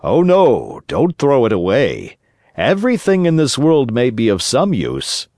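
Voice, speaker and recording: Man acting a kindly old father, lively pitch. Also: unhurried, dry recording, studio quality. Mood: calm advising. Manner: gentle, earnest, moralizing.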